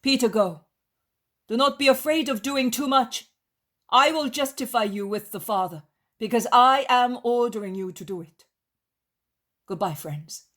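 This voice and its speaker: A woman voicing a gentle, kindly male holy teacher in a storybook reading, solemn, reassuring and emphatic. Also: a little high, measured pace, pauses often, voice pushed up. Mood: calm, instructing. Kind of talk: dramatized devotional storybook reading.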